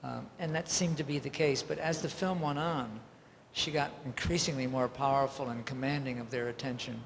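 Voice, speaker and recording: A man's voice: mid-range voice, steady tone, moderate pace, vocal fry. A man speaking from the audience, putting a considered question in a public Q&A. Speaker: audience member asking a question. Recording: echoey hall, faint noise.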